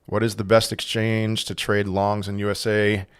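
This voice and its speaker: A man's voice: on the low side, little pitch movement, normal speed, smooth. A man hosting a casual crypto livestream, reading chat questions aloud and answering them in a rambling way with frequent restarts. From Portugal. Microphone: desk microphone.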